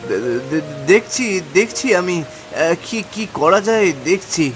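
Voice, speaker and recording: A man's voice: high voice, unhurried. A man playing a kind-hearted, earnest and hesitant poor husband in a cartoon. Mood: flustered. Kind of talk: Bengali moral-story cartoon acting. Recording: music under the voice.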